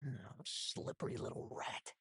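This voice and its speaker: A woman playing a taunting, menacing hunter in a video game, with a husky, mocking drawl. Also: a little slow, a bit bright, no echo, clean studio sound, natural voice. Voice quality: breathy, husky.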